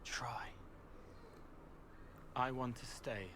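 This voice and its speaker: An actor playing a gentle, haunted young male spirit in a video game, speaking earnestly in short, halting phrases. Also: medium pitch, steady tone. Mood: quietly earnest. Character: gentle, haunted young spirit-man who helps the hurting.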